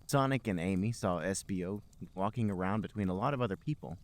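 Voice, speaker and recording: A man's voice: low voice, fast talker, dry recording. A man hosting a podcast, reading amateur fiction aloud as comic narration with an emphatic storybook delivery.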